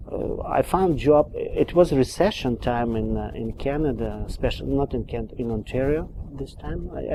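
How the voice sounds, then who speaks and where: A man's voice: mid-range voice, background noise. A middle-aged man from Moldova with a Moldovan accent, speaking in a hesitant, filler-heavy way with frequent restarts, answering questions in an oral history interview. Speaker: immigrant interviewee.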